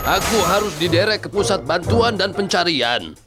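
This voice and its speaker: A man's voice: sits high, expressive, normal speed, music in the background. A man voicing a polite broken-down vehicle that needs help, in a children's cartoon. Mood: pleading. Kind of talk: dubbed children's cartoon acting.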